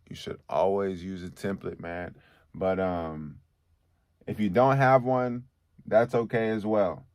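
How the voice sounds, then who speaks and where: Male studio engineer, deep bassy voice, laid-back teaching tone, dry close sound. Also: no echo. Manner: relaxed, conversational, frequent "okay?" check-ins. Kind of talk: casual tutorial.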